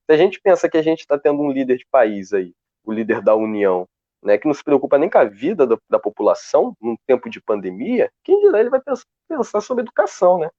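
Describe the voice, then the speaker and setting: A man speaking on a live video call, talking in a lively way with many restarts as he searches for words. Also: fairly high pitch, no echo. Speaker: guest researcher. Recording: dull, dry lo-fi call audio.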